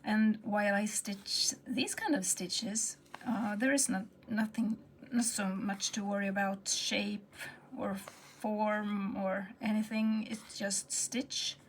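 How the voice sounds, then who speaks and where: A woman's voice: medium pitch, steady tone, slow, a little hiss or hum. A woman with a Swedish accent, talking through her embroidery work in a hesitant, half-whispered way, often searching for words. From Sweden. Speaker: textile artist. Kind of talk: casual craft tutorial narration.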